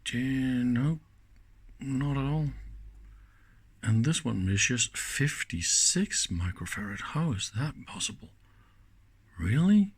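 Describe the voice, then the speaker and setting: A man presents an electronics teardown at a slow, unhurried pace. He talks casually and without a script, thinking out loud as he works and dropping in short exclamations. His voice sits at an average male pitch. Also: expressive, slightly rough. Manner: musing aloud with short exclamations. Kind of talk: casual unscripted hands-on commentary.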